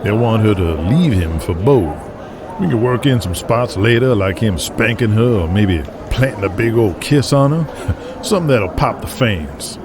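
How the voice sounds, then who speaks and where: A man's voice: lowish voice, expressive, a little slow, over music. An actor playing a brash, foul-mouthed 1980s wrestling man in an audio drama. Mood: businesslike. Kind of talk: audio drama acting with narration.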